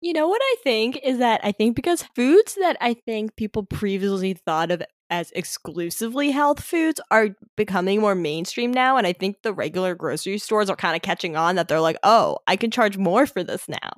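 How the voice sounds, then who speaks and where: A woman's voice: mid-range voice, expressive, moderate pace, hardly any pauses, dry recording, clean studio sound. A young woman co-hosting a casual podcast chat, thinking aloud in loose, rambling sentences full of hedges. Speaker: podcast co-host.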